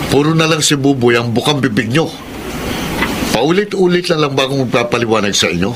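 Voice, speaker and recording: A man's voice: mid-range voice, noisy background, natural voice. A man playing a middle-aged, principled father in a Tagalog radio drama, defending those he cares about. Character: exasperated, principled father defending a homeless boy.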